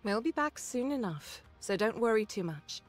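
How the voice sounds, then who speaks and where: An actress playing a calm, caring woman officer in an anime game, speaking in a measured, gently firm way. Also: on the high side, soft background music. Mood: composed, businesslike. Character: calm, caring, mature female squad second-in-command.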